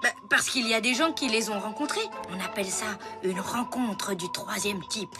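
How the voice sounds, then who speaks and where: A woman playing an excitable, earnest young boy in a cartoon dub, explaining things eagerly and emphatically. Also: mid-range voice, normal speed, loud music behind the voice, natural voice. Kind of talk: animated series dubbing.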